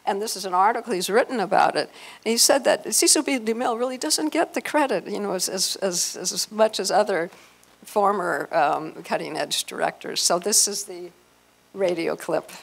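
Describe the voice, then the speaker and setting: A middle-aged woman informally presenting to an audience on a handheld microphone, halting as she searches for words. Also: medium pitch, vocal fry. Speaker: event presenter. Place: hotel conference room with audience.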